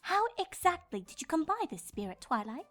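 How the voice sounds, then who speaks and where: A woman's voice: high voice, very animated, a little fast, soft background music. A woman voicing a dramatic, genteel, doting female cartoon unicorn fashion designer with a refined, theatrical manner. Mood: polite. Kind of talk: cartoon fan-fiction voice acting.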